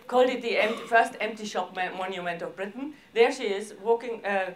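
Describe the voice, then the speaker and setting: Woman giving a slide talk, informal, lots of hesitating and broken sentences, medium pitch. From Austria. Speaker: arts organisation director presenting projects. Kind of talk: seminar presentation with slides.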